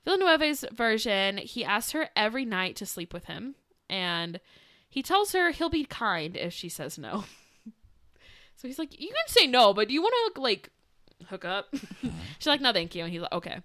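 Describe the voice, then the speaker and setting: A young woman chats through a fairytale on a podcast, laughing often and tossing in sarcastic asides. Her voice is bright and lively in pitch, and the sound is close and dry. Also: moderate pace, no echo. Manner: giggly, sarcastic, acts out quotes in voices. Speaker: podcast co-host. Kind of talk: casual storytelling chat.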